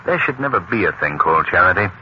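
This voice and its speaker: An actor playing a confident, kindly gentleman hero, articulate and theatrical in 1940s radio drama style. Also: low voice, expressive, fast. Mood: reflective. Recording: muffled, hissy old radio broadcast.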